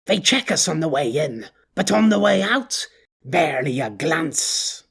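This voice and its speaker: A man voicing a blustering, boastful petty male gang boss who rants and bosses his crew around, with a husky voice. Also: fairly high pitch, very animated, measured pace, fairly bright tone, full character voice. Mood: bossy. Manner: boastful, bossy, rough-talking.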